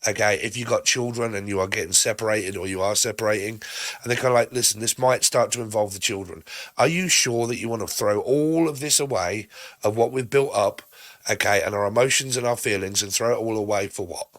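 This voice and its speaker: Hoarse British man reading tarot. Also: fairly low pitch, fairly quick, a bit bright, no room sound. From Britain. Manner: chatty, rambling, acts out both sides of imagined conversations. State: hoarse from a cough. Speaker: tarot reader.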